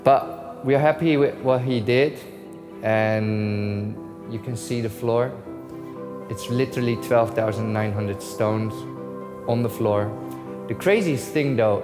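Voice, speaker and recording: A man from the Netherlands walking through his new shop, telling its story with enthusiasm and acting out his phone calls with the artists. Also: fairly low pitch. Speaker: store owner giving a tour. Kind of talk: casual walkthrough narration. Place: inside a large shop.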